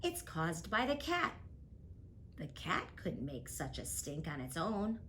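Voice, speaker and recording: A woman reading a rhyming picture book aloud as a guest reader, with a rhythmic, expressive delivery. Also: lowish voice, slow, slight background noise.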